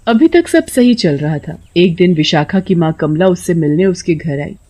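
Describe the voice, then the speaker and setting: A woman's voice: on the low side, lively intonation, moderate pace, mellow. A woman reading a scripted story as its narrator.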